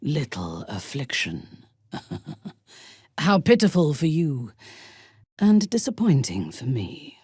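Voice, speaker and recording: Ruthless dark elf noble warrior for a fantasy game, husky n breathy, haughty, slow menacing delivery, swoops around a lot. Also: female voice, deep voice, huge pitch swings, no echo, studio quality, full character voice. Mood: haughty, sinister. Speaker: video game voice actor. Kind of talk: dramatic fantasy game dialogue.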